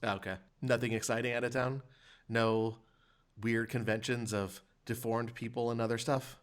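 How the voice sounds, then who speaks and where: A man with an average-pitched voice hosts a loose comedy podcast. He talks casually and asks his questions deadpan, keeping the banter moving. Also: moderate pace, clean tone. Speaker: podcast host.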